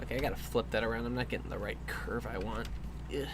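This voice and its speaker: A man's voice: medium pitch, steady tone, fast. A man in his twenties chatting casually and playfully while drawing on a stream. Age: 20s. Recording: clear background noise and hum.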